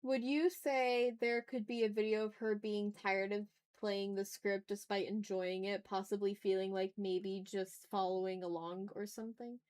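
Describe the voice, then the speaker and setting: A woman's voice: medium pitch, level tone, normal speed, hardly any pauses, darkish tone, smooth. Casual woman streamer, thinking aloud. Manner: informal, thinks aloud, mutters to self. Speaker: art livestream host. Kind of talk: casual livestream chat while drawing.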